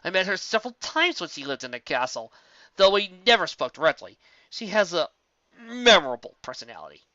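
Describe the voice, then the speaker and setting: A man voicing an earnest young male guard captain who talks respectfully, often halting and anxious. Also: high voice, sing-song, pushed and bright, no echo, muffled recording, voice pushed up, heavily acted. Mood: worried.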